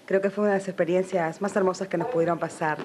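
A woman's voice: lowish voice, fairly even intonation, fast talker, darkish tone. A woman in her forties with an Argentine accent, a radio announcer reminiscing and presenting in a television studio.

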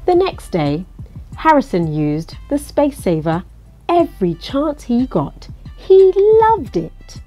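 Slow, lively woman reading a children's storybook. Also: medium pitch. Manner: expressive, coaxing, asks the listener questions. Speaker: storybook reader. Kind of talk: children's picture-book read-aloud.

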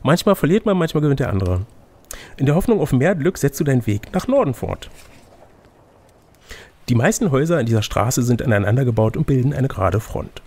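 A man with a creaky, somewhat raspy voice at a medium pitch leads a gamebook adventure. He switches between storybook narration and playful, casual banter. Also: very animated, a little fast, darkish tone. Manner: playful, chatty asides between read passages. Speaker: game master reading a gamebook aloud.

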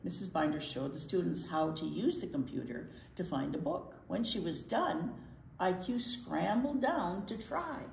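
Older female storytime reader for children: lower-pitched, expressive, recorded in a roomy space. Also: creaky, a little hiss or hum. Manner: expressive, pausing for asides to young listeners. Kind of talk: reading a picture book aloud to children. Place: indoor library storytime set. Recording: roomy indoor sound.

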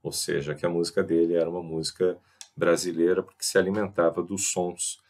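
A man in his forties with a Brazilian Portuguese accent, lecturing to a webcam from a small room through a headset mic in a thoughtful, professorial way, with frequent filler pauses. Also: sits low, little pitch movement, darkish tone. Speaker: host. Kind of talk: informal lecture to camera. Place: small indoor room with a chalkboard. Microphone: headset mic on a webcam video.

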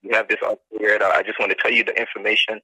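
A man working as a debt collection agent on a phone call, giving a scripted pitch in an insistent, repetitive, formal manner. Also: flat delivery, rapid-fire, bright, forceful tone, slightly rough, no room sound. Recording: phone line. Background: telephone call.

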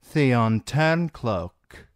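A man voicing a mocking, menacing, bawdy washerwoman in an audiobook, with drawn-out colloquial taunts. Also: mid-range voice, lively intonation, dry recording, studio quality, voice pushed up. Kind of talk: dramatic audiobook character acting.

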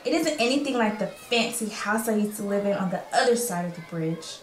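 A woman's voice: mid-range voice, lively intonation, a little fast, natural voice. A woman playing a young, candid, chatty refugee girl in a radio play. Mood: wistful. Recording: echoey room with faint noise.

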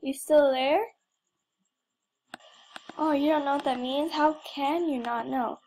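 High-pitched young woman, playfully dramatic. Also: fairly even intonation, takes it slowly, a little mellow. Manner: playful mock drama, exclamations. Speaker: gamer recording gameplay. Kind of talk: casual playful game commentary.

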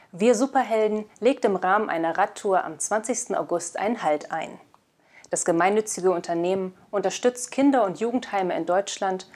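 A woman's voice: medium pitch, darkish tone. Young woman presenting scripted news. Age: 20s. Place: indoor studio. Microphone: lapel mic.

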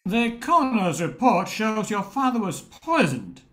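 Woman as animated, blunt police officer. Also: mid-range voice, unhurried. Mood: suspicious. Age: older. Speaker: amateur radio drama performer. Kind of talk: old-time radio play acting.

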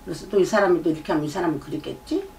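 A woman's voice: on the low side, lively intonation, very fast, creaky, darkish tone. Lecturing middle-aged female shaman. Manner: lecturing, repeats herself, prompts with "eh?". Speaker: shaman fortune teller. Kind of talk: fortune-telling consultation. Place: shrine room with folding screen and drum. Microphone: far camera. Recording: distant mic, faint room ambience.

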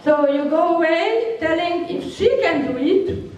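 A woman's voice: very high-pitched, lively intonation, measured pace, a little mellow, muffled recording. An older woman with a Hungarian accent, speaking frankly and laughing often as she answers workshop questions. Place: large hall with seated audience. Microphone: handheld microphone, recorded from far off. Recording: echoey hall from far off.

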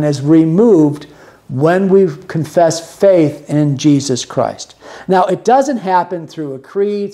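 A man's voice: a little high, darkish tone. A man in his sixties, a podcast host teaching a Bible lesson in an earnest, plainspoken way and restarting words as he explains, recorded in a small room. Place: small room with music gear behind.

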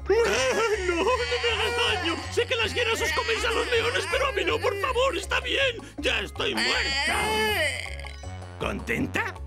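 A man's voice: very high voice, sing-song, a little slow, gravelly, heavily acted. A man voicing a vain, lazy, cowardly lion in a cartoon, with boastful, theatrical delivery. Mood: terrified whimpering. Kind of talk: cartoon dub acting. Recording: music behind the voice.

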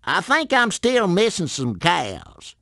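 A man's voice: sits high, wildly swooping pitch, slow pace, pushed and bright, dry recording, studio quality, heavily acted. A man voicing a simple, good-natured video game farmer with a folksy rural American accent. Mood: earnest, folksy. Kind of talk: video game character dialogue.

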